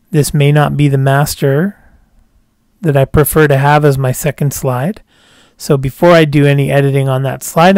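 A man walking through a software tutorial step by step as a screencast voice-over, calm and explanatory, dropping a casual "cool" between steps. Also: on the high side, no room sound, studio quality.

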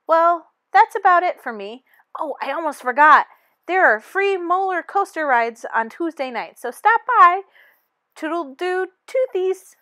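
A woman's voice: extremely high pitch, animated, a little slow, sharp tone, dry recording, clean studio sound, voice pushed up, heavily acted. A woman voicing a breezy, chatty tooth fairy who gushes like a presenter in a storybook. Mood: bubbly.

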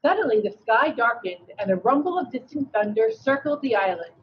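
A woman co-hosting a read-aloud, reading a storybook expressively with a slightly husky voice. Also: fairly high pitch, big pitch swings, brisk, a little hiss or hum. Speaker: read-aloud co-host, educator. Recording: dull video-call audio.

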